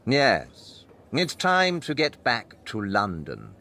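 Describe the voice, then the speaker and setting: A precise, animated pedantic detective. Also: male voice, mid-range voice, takes it slowly, full character voice. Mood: assured, dismissive. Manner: precise, didactic, emphatic. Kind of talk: scripted audio drama dialogue.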